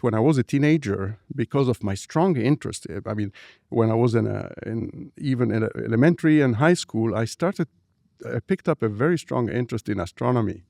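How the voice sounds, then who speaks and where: A man in his fifties from Egypt with an Egyptian accent, a scientist guest talking in an interview, hesitant with many fillers and restarts, in a dark studio at a boom microphone. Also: creaky, no room sound. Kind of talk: interview conversation. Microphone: boom microphone on arm.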